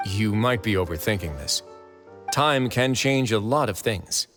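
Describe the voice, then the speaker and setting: An actor playing a composed, shrewd official in a video game, with an assured, deliberate, persuasive delivery. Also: male voice, fairly low pitch, lively intonation, fairly bright tone, faint music behind. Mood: assured, reasoning. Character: composed, authoritative, shrewd male official in charge. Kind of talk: anime-style video game voice acting.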